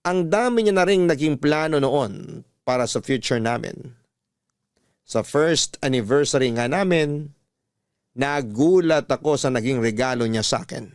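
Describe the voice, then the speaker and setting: A man's voice: mid-range voice, lively intonation, unhurried, smooth, no echo, studio quality. Earnest male radio-story narrator. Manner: emotional, earnest first-person reading. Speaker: letter reader and narrator.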